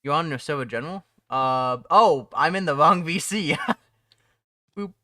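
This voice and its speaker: A man's voice: a little high, moderate pace, sharp tone, dry recording, clean studio sound. A young man chatting casually on a live stream from home, rambling, laughing often and restarting his sentences. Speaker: streamer and mod developer.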